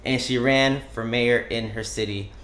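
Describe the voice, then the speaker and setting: A man's voice: mid-range voice, steady tone, unhurried, fairly bright tone. Man, show host, upbeat, playful, echoey room. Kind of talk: live show hosting.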